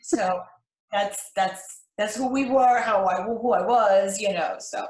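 An older woman from Cuba recounting her life as an interview guest, speaking in measured, halting phrases. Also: medium pitch. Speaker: interview guest, retired flight attendant. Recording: echoey, roomy sound.

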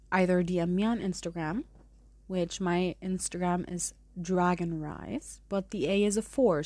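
A woman's voice: medium pitch, a little mellow, no echo. A woman in her twenties with a German accent, hosting a podcast in a chatty, rambling way. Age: 20s. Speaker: podcast host. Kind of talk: casual podcast monologue.

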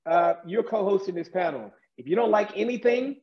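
A man's voice: high voice, animated, a little fast. A man hosting a panel discussion, upbeat and joking as he moderates over a video call. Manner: playful, joking, enthusiastic. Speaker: panel host. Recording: echoey, dull room sound.

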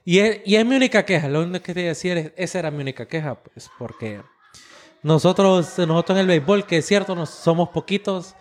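Male co-host from Nicaragua, rapid and upper-register, opinionated slang with bits of English. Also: sits high. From Nicaragua, born in the United States. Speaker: podcast co-host. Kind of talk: casual group chat.

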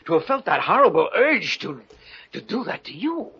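Haunted bridegroom, wildly swinging old-radio delivery. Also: male voice, fairly high pitch, very animated, breathy edge, exaggerated voice. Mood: troubled, bewildered. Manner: theatrical, halting and fraught. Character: anguished new bridegroom, professor haunted by dark urges. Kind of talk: 1940s radio thriller acting. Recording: dull, noisy old radio recording.

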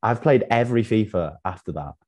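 A man's voice: lowish voice, expressive, brisk, a little mellow. A young man from North Wales, a podcast guest, talking casually and laughing at himself. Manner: laid-back, self-deprecating, laughs often. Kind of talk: casual chat.

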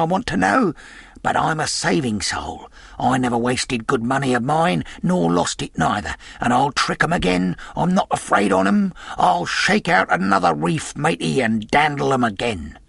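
A man voicing a weak, drunken old sea captain who wheedles and blusters in sailor slang, with a gravelly, husky voice. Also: fairly high pitch, a bit bright, no room sound, clean studio sound, voice pushed up, exaggerated voice. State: weak, feeble voice.

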